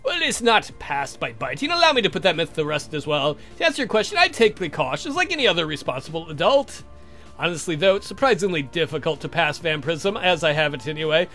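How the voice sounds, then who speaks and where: A man's voice: fairly high pitch, big pitch swings, quick pace, pushed and bright, soft background music. A man voicing a suave, haughty vampire who lectures in a formal, condescending, theatrical way for a game.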